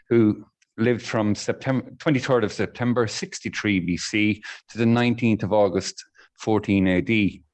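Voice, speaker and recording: A man's voice: mid-range voice, darkish tone. A man, the meeting's master of ceremonies, greeting everyone politely and formally before opening the meeting. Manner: polite, formal, lots of "uh" fillers. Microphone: video call audio.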